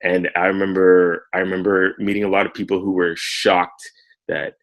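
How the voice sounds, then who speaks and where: A Canadian man in his thirties, a guest telling his story in a relaxed way, with many ums. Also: sits low, moderate pace. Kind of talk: casual interview.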